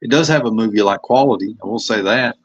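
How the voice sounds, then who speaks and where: A man's voice: on the low side, fast, dry recording. Rambling Kentucky man on a muffled phone. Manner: rambling, self-critical, hesitant. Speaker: comic artist. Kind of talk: casual livestream commentary.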